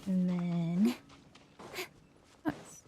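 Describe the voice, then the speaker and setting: A woman's voice: on the low side, extremely slow, noisy background. A woman streamer giving casual gameplay commentary, murmuring to herself as she plays. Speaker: game streamer.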